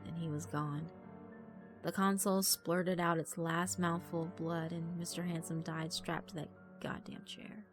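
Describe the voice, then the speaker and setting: A woman giving a grave, deliberate, dramatic reading of a horror story as narrator. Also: lowish voice. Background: background music.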